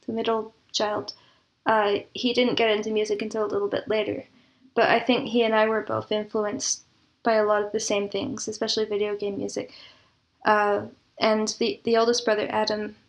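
A woman speaking as an interview guest in casual, reminiscing conversation, with a thoughtful, measured way of talking. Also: on the high side. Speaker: interview guest, composer and audio drama producer.

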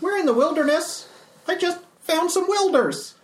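A man's voice: very high voice, lively intonation, moderate pace, pitched above the performer's own voice. A man doing a chirpy hiccuping little robot. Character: hiccuping little male Modron construct, cheerful and naive. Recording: roomy echo.

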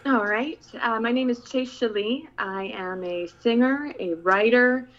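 A woman's voice: on the high side, a little slow, lo-fi sound. A woman from the Philippines, co-hosting a casual talk show, light and giggly, with many hesitant fillers. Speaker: co-host.

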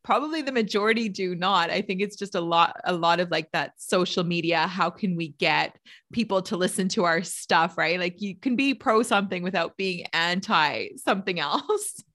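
A woman hosting a casual podcast, her voice sitting a little low for a woman. She talks loosely and warmly with her guest, full of "like" and quick laughs. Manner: chatty, laughs often, many "like" fillers. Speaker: podcast host, trainer.

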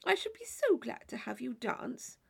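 A woman voicing a placid, fussy married gentlewoman in an audiobook, chattering in genteel exclamations. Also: high-pitched, big pitch swings, normal speed, gravelly, slightly rough, voice pushed up, full character voice.

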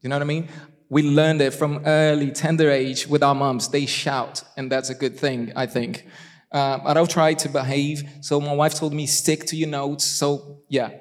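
Man, Brazilian Portuguese accent, sermon, playful asides, fairly high voice, long reverb of a church hall. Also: normal speed. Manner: animated and self-deprecating, with asides to the congregation. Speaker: guest preacher.

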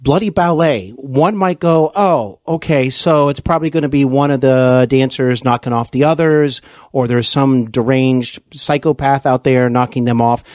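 A man hosts an interview in a conversational style, with a medium-pitched voice. He is polite and complimentary, feeling his way through his phrases. The sound is very dry, with no audible room. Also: moderate pace, a little mellow, no echo. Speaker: interview host.

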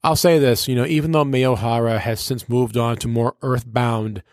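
A man, a radio personality, reminiscing casually and effusively, with emphatic, drawn-out phrasing and repetition. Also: mid-range voice, fairly even intonation, darkish tone, no room sound, studio quality.

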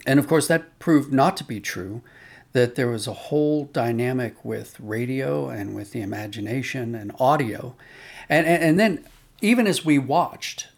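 A man's voice: mid-range voice, normal speed, creaky. A man being interviewed as an audio drama producer, explaining conversationally and telling anecdotes with quoted dialogue.

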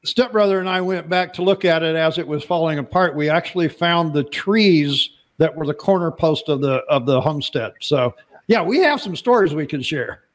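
Rambling, higher-voiced male podcast host. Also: moderate pace. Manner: rambling, repeats words when starting sentences. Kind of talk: casual interview chat.

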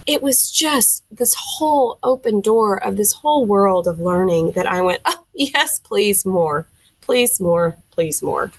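A woman's voice: medium pitch, breathy edge, poor audio. A young woman from Tennessee with a Southern accent, a music teacher speaking as a podcast guest, telling her story animatedly with frequent fillers and acted-out reactions. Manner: animated, acts out her own quoted reactions; frequent fillers, thinks aloud. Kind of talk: casual storytelling interview.

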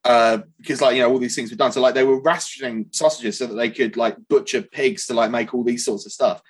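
A man's voice: medium pitch, fairly even intonation, fast, breathy edge. A British man in his twenties, a guest actor in a casual podcast chat, talking in a chatty, self-mocking way. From Britain.

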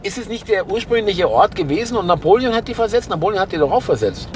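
A man's voice: sits high, big pitch swings, quick pace, a little mellow. A man hosting a video while he drives, chatting casually and hesitantly, often agreeing with his passenger. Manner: hesitant, filler-heavy, agreeable. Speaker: video host driving. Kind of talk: casual chat. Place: inside a moving car in a city. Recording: road noise inside a moving car.

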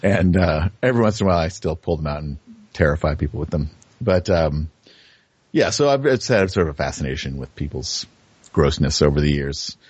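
A man chatting casually as an interview guest, halting, offhand and frank. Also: extremely low pitch, animated, darkish tone, slightly rough, no room sound, muffled recording. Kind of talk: casual chat.

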